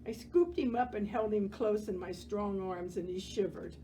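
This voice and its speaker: A woman reading a children's picture book aloud as a library storyteller, gentle and expressive, pausing to comment on the pictures. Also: medium pitch, a little hiss or hum, low-quality recording.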